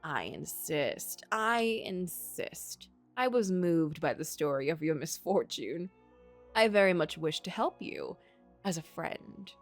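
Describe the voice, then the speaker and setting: Woman reading a sly, coaxing gentleman tempter. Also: low voice, big pitch swings, takes it slowly, soft background music, voice pushed down, full character voice. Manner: courtly, coaxing, insistent. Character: sly, smooth-talking gentleman tempter offering magical bargains.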